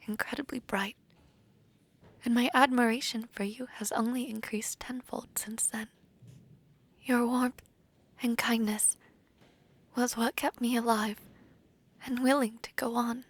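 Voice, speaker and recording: A woman playing a tender, devoted princess bride in a romantic fantasy audio roleplay, speaking in a soft, breathy, heartfelt way. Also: fairly high pitch, steady tone, unhurried, long pauses.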